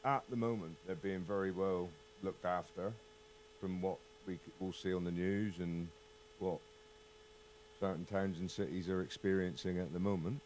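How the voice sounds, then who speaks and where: A man's voice: low-pitched, fairly even intonation, moderate pace, darkish tone, a little hiss or hum, rough recording. A man with an English accent, a county councillor making pointed, questioning remarks in a casual political discussion.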